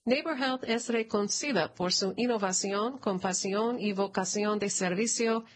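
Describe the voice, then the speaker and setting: A woman narrator reads a prepared announcement at a slow, deliberate pace. Her pitch sits in a middle range for a female voice, and her delivery is formal and measured throughout. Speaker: narrator reading a press release. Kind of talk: scripted read-aloud news announcement.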